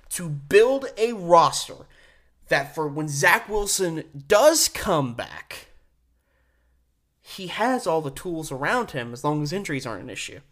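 A man's voice: high voice, a bit bright. Emphatic male sports podcast host. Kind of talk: solo sports commentary, conversational.

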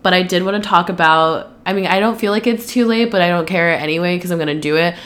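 A woman's voice: lowish voice, fast, fairly bright tone. A young woman, slightly nasal and stuffy from allergies, talking through her plans on a solo podcast, rambling with lots of "um" and "like". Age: 20s. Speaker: podcast host. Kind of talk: casual solo podcast chat.

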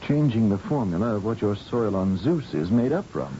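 A man's voice: lowish voice, big pitch swings, moderate pace, mellow. A man in an old radio drama playing an earnest, determined scientist from Earth, with a grave manner. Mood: grave, urgent. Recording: dull, noisy old radio recording.

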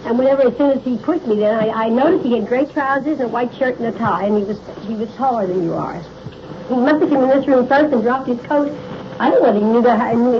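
A middle-aged woman, nervous and shaken, giving a spontaneous, colloquial, run-on eyewitness account of a break-in. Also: on the high side. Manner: colloquial, nonstandard grammar, run-on. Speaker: witness of a break-in. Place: indoors in a house. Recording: muffled, noisy old field recording.

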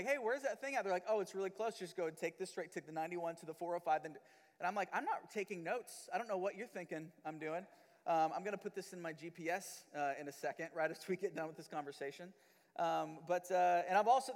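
A young man preaching from a church stage, his fairly high voice trailing into a long reverb. He talks loosely and self-deprecatingly, stacking fillers and restarts. He grew up in the Philippines. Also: fast. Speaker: pastor. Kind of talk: casual sermon opening. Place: church hall.

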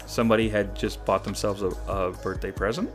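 A man who is a guest on a podcast, telling stories in a rambling, halting way. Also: fairly low pitch, steady tone, faint music behind. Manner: rambling storyteller, halting restarts. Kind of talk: casual podcast chat.

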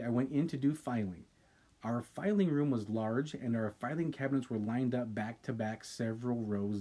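A man co-hosting a casual podcast, reading a listener's ghost story aloud with dramatic pauses and stopping to react. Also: mid-range voice, dark tone, slightly rough. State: sipping wine. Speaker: podcast co-host.

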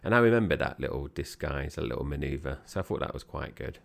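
Brief: male podcast co-host, very low gravelly voice, casual rambling film chat. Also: lively intonation, fast. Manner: chatty, meandering with fillers and restarts.

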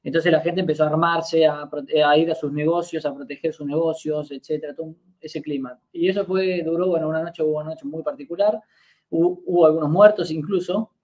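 A man's voice: fairly high pitch, steady tone, a little fast, darkish tone, poor audio. A man in his twenties with an Argentine accent, a podcast guest telling a long story in a casual, hesitant way, full of fillers and restarts. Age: 20s. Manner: hesitant, many fillers and restarts while recounting.

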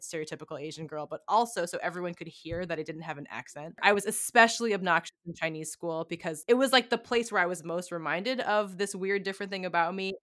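A young woman, co-hosting a podcast and telling personal stories in an animated, casual way, full of "like" and "right?". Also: lowish voice, no room sound, clean studio sound. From United States. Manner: animated, heavy use of "like" and "right?". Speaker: podcast co-host. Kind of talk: casual conversational storytelling.

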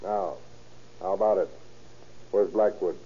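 An actor playing a patient, sardonic police officer who leans on an informant in a 1930s radio crime drama. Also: male voice, sits low, fairly even intonation, a little slow, warm tone, breathy edge. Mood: sardonic, pressuring. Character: smooth-talking, needling police officer leaning on an informant. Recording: muffled 1930s radio recording.

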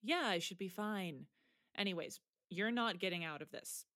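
A woman playing a sardonic teenage high school soccer player in an audio drama, dry and blunt. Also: animated, normal speed, a bit bright, no echo. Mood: dry, challenging. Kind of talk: naturalistic audio drama acting.

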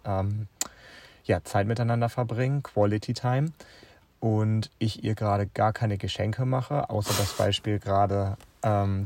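Junger Mann, tief und knarzig. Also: male voice, fairly low pitch, vocal fry, darkish tone. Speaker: podcast co-host. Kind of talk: casual couple chat.

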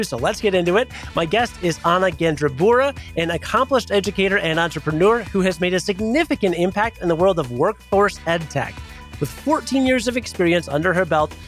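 A man hosting a podcast, reading a polished intro and asking interview questions. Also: high voice. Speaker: podcast host.

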